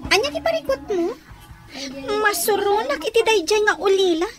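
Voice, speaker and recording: A woman's voice: extremely high pitch, expressive, normal speed, over music. A woman playing a gossipy, disdainful young woman in a radio drama, speaking with an Ilocano accent. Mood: scornful chatting.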